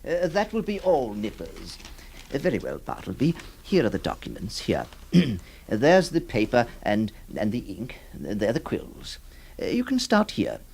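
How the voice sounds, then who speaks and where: A stammering, flustered lawyer. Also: male voice, mid-range voice, huge pitch swings, a little slow, gravelly, darkish tone, breathy edge, exaggerated voice. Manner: stammering, exasperated, theatrical. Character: flustered, hesitant older male lawyer. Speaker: narrator and lawyer employer.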